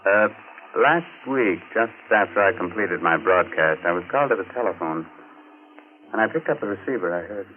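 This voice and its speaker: A man's voice: sits low, expressive, moderate pace. A man playing a macabre, sardonic horror host and storyteller in theatrical 1940s radio style. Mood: hushed, eerie. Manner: theatrical, sardonic, mock-friendly. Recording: muffled 1940s radio recording with music.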